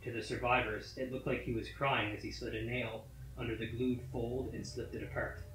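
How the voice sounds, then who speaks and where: A man reading a scripted horror story in a measured, matter-of-fact manner. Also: mid-range voice, little pitch movement, a bit bright, slight background noise. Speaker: story reader. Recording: roomy echo. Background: faint music.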